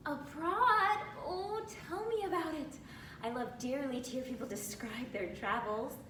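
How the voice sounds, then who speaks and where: A woman's voice: unhurried, bright, forceful tone. A woman voicing a blunt, eager, awkward but friendly teenage tomboy girl in a dramatic reading of a classic novel. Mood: excited, eager. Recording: roomy, distant mic with rumbling noise.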